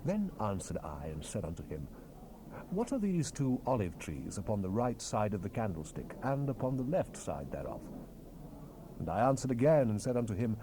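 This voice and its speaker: A man narrating scripture in a solemn, measured, theatrical manner, as part of a dramatized Bible recording. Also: lowish voice, sing-song. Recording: hissy 1970s cassette rip.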